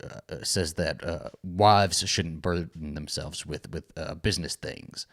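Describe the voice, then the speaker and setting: A man's voice: extremely low pitch, steady tone, takes it slowly, fairly bright tone. A man co-hosting a casual recap show, talking in an informal, slangy, joking way. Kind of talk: casual chat recapping a TV episode.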